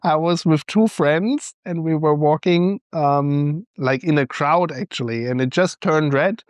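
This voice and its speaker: A young man with a German accent answers podcast questions in a relaxed, hesitant way. His voice sits a little higher than most men's, and his laughter comes easily. The sound is dry and close to the mic. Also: measured pace, no room sound. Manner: thoughtful, hesitant, laughs often. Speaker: podcast co-host. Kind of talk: casual chat.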